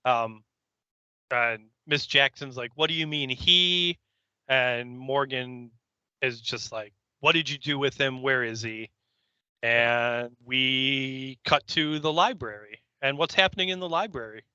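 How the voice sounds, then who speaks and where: A man's voice: mid-range voice, slow, bright, forceful tone, clear, resonant tone, no echo, lo-fi sound. A man co-hosting a podcast, casually recapping a story in a joking, sweary, rambling way. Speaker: podcast co-host. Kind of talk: casual recap of a TV episode, chatty banter.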